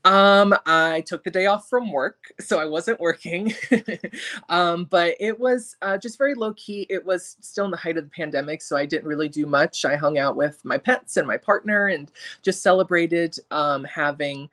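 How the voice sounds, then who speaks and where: A man's voice: on the high side, moderate pace. Young man author chatting with many ums. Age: 20s. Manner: friendly, many ums, lively swings in loudness. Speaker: guest author. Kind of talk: casual interview chat.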